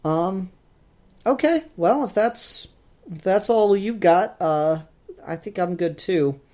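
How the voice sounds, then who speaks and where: A man's voice: high voice, lively intonation, takes it slowly, darkish tone. A man co-hosting a podcast, talking casually and informally as he reviews. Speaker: podcast co-host reviewing fan films. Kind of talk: casual chat, review discussion.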